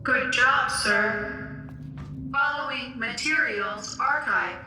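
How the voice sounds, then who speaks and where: A calm female computer in a game cutscene, formal and impersonal. The voice is fairly high and echoey, measured in its delivery, over clear background noise. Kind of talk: video game cutscene acting.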